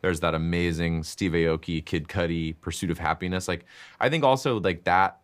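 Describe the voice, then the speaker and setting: A young man in his twenties chatting in an interview about making music, talking loudly and animatedly with lots of "like" fillers. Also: low voice. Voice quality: loud voice. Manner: animated, talks in quick bursts with many "like" fillers. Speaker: guest musician and composer. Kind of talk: casual interview chat.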